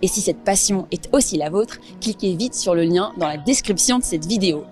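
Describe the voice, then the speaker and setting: A woman's voice: lowish voice, expressive, brisk, darkish tone, soft background music. Woman reading a channel promo. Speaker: street interviewer and host. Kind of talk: street interviews, then a scripted promo. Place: outdoors on a street.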